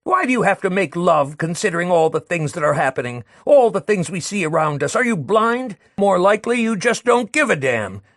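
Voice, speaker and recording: A man voicing a bitter, disgusted man who rants against love, shouting and scornful. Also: sits high, sing-song, fairly quick, hardly any pauses, dry recording, clean studio sound, heavily acted. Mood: ranting.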